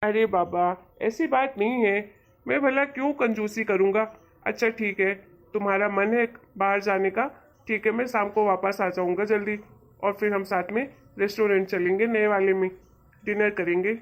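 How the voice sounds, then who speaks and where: Woman acting a patient cartoon husband, medium female pitch, worn down by spending, placating but scolds a little. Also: steady tone, normal speed, highs cut off, natural voice. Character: patient, exasperated, budget-minded husband who gives in. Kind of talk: Hindi cartoon moral story dialogue.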